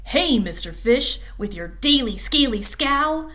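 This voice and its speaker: A woman voicing a gentle male jellyfish who floats along, with a mild, gently complaining manner. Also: fairly high pitch, animated, slow pace.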